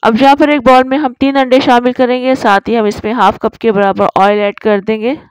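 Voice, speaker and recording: A woman's voice: a little high, fairly even intonation, a little fast, smooth, no room sound, low-quality recording. A woman narrating a recipe tutorial as a voice-over, explaining each step in an instructive way. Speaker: cooking channel host.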